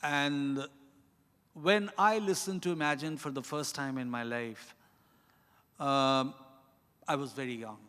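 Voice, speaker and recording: A middle-aged man with an Indian accent, an author giving reflective, deliberate, halting answers with emphasis on key words. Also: medium pitch, sharp tone, clean tone. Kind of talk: reflective spoken answer at a live literary event. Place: large indoor event hall. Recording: echoey hall with long reverb.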